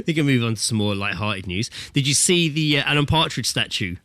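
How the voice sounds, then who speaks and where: British male, podcast co-host. Bright, punchy voice, dry recording, casual jokey banter with swearing. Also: medium pitch, sing-song, fairly quick, no echo. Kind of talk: casual comedic podcast chat. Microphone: remote internet call recording.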